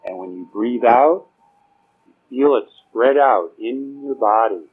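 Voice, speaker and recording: A man leading a guided meditation, giving slow spoken instructions in a soothing, permissive and informal way, with pauses. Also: mid-range voice, slightly rough. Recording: dull, muffled lo-fi recording with music.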